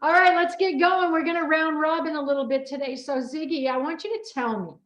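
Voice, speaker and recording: A woman hosting a casual group chat in an excitable manner, often repeating her phrases. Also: high-pitched, a little fast, highs cut off. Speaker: host. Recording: roomy, echoing sound.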